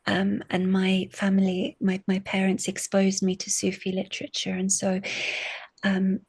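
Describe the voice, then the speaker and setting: A woman lecturer answering interview questions thoughtfully, pausing and restarting often. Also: mid-range voice, darkish tone, husky, no room sound. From family from Syria. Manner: thoughtful, hesitant, many restarts. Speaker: guest, literature lecturer. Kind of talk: academic interview.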